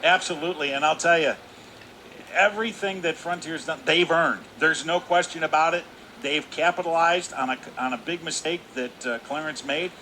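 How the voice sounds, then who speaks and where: Bright-voiced man giving hockey analysis. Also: fairly high pitch, low-quality recording. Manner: folksy explaining, drawn-out emphatic phrases. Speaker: color commentator. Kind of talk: radio hockey color commentary. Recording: arena broadcast with faint noise.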